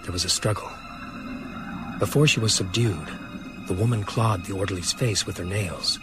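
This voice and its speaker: An actor playing a sombre survivor recounting a horror story in measured, grave first-person narration. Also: male voice, low voice, music in the background, lightly acted. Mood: sombre, haunted.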